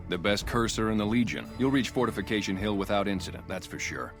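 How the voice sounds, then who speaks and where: A man's voice: fairly low pitch, quick pace, over music, natural voice. A man playing a stern, contemptuous, zealous slave master with a haughty, formal manner in a video game. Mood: proud, reverent. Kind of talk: video game dialogue acting.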